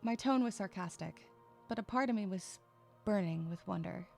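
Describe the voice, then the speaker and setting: A woman playing an irritable, sarcastic, troubled young female loner, narrating a first-person audio drama with expressive, sighing delivery. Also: medium pitch, moderate pace, gravelly, soft background music, natural voice. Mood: brooding.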